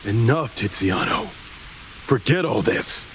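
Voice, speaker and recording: A man's voice: mid-range voice, animated, slow pace, fairly bright tone, slight background noise, rough recording. An actor playing an earnest, selfless male video game hero with a sincere, pleading way of talking. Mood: firm. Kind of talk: dramatic video game voice acting.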